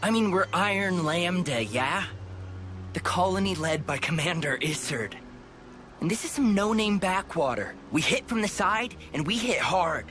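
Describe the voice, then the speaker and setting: A man's voice: high voice, lively intonation, moderate pace, a bit bright, slight background noise. A man voicing a brash, impatient young soldier with a blunt, taunting manner in a video game. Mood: cocky, pushy.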